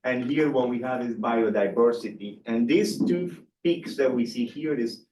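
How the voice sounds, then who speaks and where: A man's voice: mid-range voice, normal speed, darkish tone, roomy sound, recorded from a distance, a little hiss or hum, rough recording. A man from Colombia giving a university seminar lecture, hesitant with many fillers and restarts. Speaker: guest lecturer. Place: lecture room.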